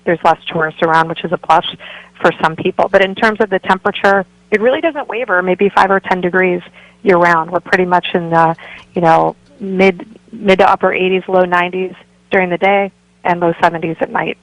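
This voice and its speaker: A woman's voice: lowish voice, steady tone, fairly quick, dry recording, low-quality recording. A woman working as a real estate broker, answering interview questions in a friendly, informative way as a guest. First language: bilingual Spanish and English. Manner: friendly, informative, laughs easily. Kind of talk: conversational radio interview answers.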